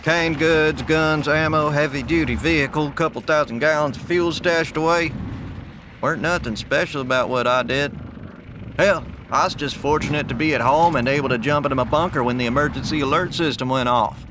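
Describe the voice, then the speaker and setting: A man's voice: on the high side, natural voice. Actor as laconic survivalist man, noisy surroundings. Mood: matter-of-fact. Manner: dry, folksy, unhurried. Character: gruff, laconic male survivalist metal worker, wounded. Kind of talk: audio drama acting. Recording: noisy outdoor vehicle ambience.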